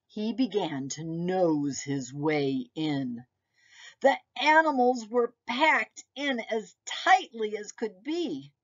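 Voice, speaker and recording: A woman reading a picture book aloud to young children like a preschool teacher, with deliberate, expressive storytelling phrasing. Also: medium pitch, dull audio.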